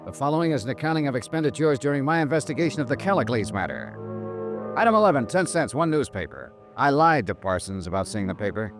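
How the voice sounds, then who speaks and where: An actor playing a wry, tough insurance investigator in an old-time radio noir drama, clipped and sardonic, who also narrates. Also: male voice, mid-range voice, big pitch swings, fast talker, over music, lightly acted. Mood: brisk, matter-of-fact. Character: wry, hard-boiled male insurance investigator.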